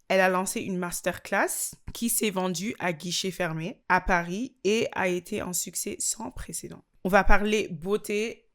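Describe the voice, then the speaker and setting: A woman hosting an interview show, poised when reading her introduction and relaxed and chatty when asking questions. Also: lowish voice. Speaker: podcast host. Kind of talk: scripted intro, then casual interviewing.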